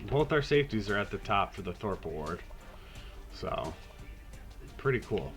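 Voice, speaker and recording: Raspy, low-voiced man talking video games. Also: big pitch swings, moderate pace, a bit bright, soft background music. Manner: laid-back, rambling, swears casually. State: hoarse, getting over a cold. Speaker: podcast host. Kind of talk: casual video game commentary.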